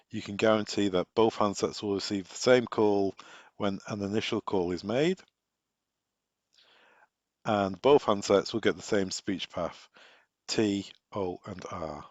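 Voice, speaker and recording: A man's voice: on the low side, darkish tone. A man working as a technical trainer, giving an instructional explanation and repeating key points with emphasis. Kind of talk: instructional explanation of wiring diagrams. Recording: dull, echoey room recording.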